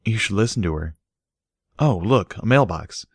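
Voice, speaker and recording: A man reading scripted story narration in a measured, earnest way. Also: fairly low pitch, lively intonation, gravelly, breathy edge, dry recording, studio quality. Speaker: audiobook narrator.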